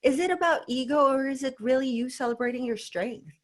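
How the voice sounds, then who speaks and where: A woman's voice: high voice, fairly quick. Outspoken woman. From Philippines. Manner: outspoken and emphatic, with many fillers like "you know" and "like". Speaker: podcast guest, fitness professional. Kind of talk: casual podcast conversation.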